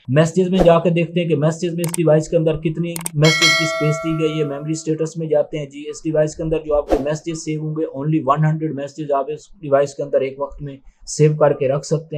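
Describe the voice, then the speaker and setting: Male product reviewer, slightly high voice, flat delivery, methodical and matter-of-fact. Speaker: tech reviewer presenting a phone unboxing. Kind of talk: unscripted step-by-step product demonstration.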